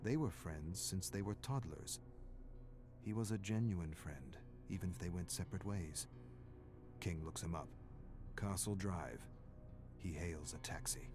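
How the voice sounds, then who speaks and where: A man reading a dramatic scripted story in a measured, brooding way, in short clipped phrases. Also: sits low, over music. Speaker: narrator.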